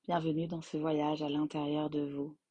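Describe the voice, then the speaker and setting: A woman guiding a yoga nidra relaxation as its teacher, in gentle, unhurried phrases with long pauses. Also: low-pitched, little pitch movement, husky, no room sound, dull audio. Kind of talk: guided meditation, slow soothing instruction.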